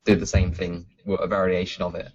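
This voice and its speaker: Relaxed man explaining a topic. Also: sits low, fairly quick, breathy edge. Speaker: podcast co-host. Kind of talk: casual chat, explaining a topic. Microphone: computer mic on an online group call. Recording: dull lo-fi online call audio.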